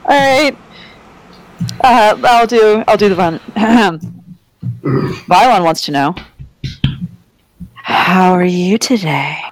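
A woman hosting a casual interview, excitable and exclamatory, with a habit of swearing freely. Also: medium pitch, extremely slow, pauses often, no room sound, rough recording. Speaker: podcast host.

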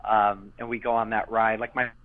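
A man's voice: lowish voice, flat delivery, moderate pace. A man who voiced a character as a child, chatting in an interview and rambling with many fillers and restarts. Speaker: interview guest, former child voice actor. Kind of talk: casual interview chat. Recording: tinny phone-line sound.

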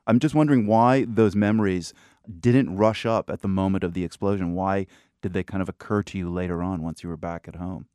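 A man hosting a radio news program, asking questions in a calm, measured way. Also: deep voice, clear, resonant tone, no room sound, studio quality.

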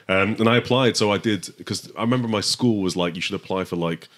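A man in his thirties, a podcast guest with a naturally flat, monotone voice, talking casually in a rambling, self-deprecating way. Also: lowish voice, fast talker. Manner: rambling, self-deprecating, many fillers and restarts. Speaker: podcast guest, comics letterer and editor. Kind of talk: casual conversation. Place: home studio.

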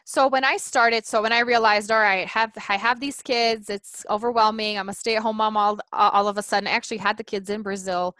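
A woman in her thirties, a native Portuguese speaker, telling her story as a guest in a casual interview. She speaks earnestly and thinks aloud, restarting phrases and filling with "you know." Her voice sits at an everyday mid pitch and sounds dry and close, with no room around it. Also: steady tone, fairly quick, few pauses, bright, forceful tone, no echo. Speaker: life coach guest.

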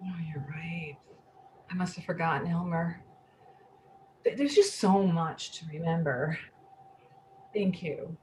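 A woman playing an anxious, slightly odd middle-aged woman who speaks haltingly in a play reading. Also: on the low side, a little mellow, a little hiss or hum. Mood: wistful, subdued. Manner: halting, repeating words, fretful.

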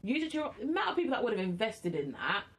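Husky-voiced woman chatting. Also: mid-range voice, very animated, very fast, a bit bright. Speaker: podcast host. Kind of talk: casual chat and debate.

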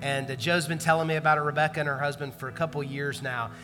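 A man leading a church service as pastor, talking loosely and conversationally, full of likes and restarts. His voice sits fairly high and has a bright, forward edge. It rings through a large hall with a long reverb, and music plays softly underneath. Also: little pitch movement, fast talker. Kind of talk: church announcements and introduction.

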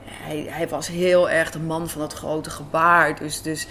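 A woman's voice: sits low, little pitch movement, a little slow, a bit bright, slight background noise. A woman telling stories casually as an interview guest. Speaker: interview guest, radio drama writer and director. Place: café in a hotel. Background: faint café ambience.

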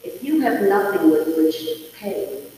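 Female lector, unhurried and very lively in intonation, heard from afar in a reverberant church with faint noise. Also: mid-range voice. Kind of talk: formal scripture reading aloud. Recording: very echoey hall, distant, faint noise.

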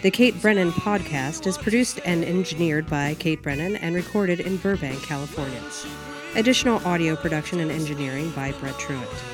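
A woman's voice: sits low, moderate pace. A woman announcer reading scripted closing credits in narration style. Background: plucked guitar music.

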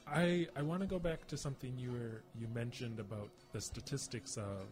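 A man's voice: mid-range voice, fairly even intonation, brisk, music in the background. A man in reflective personal conversation, searching for words and repeating them for emphasis. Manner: searching, emphatic phrasing with repetition.

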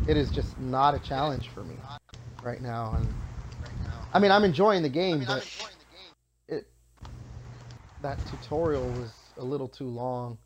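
A man's voice: medium pitch, background noise. A man in his thirties hosting a casual gaming podcast, rambling and self-deprecating, swearing freely. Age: 30s. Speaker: podcast host.

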